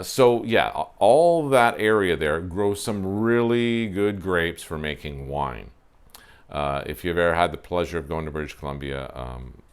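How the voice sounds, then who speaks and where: Chatty man with a deep voice. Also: clear, resonant tone. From Ontario, Canada. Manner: chatty, self-deprecating, frequent fillers. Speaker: wine show host. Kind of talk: casual talk to camera. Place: covered porch, outdoors. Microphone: lapel mic.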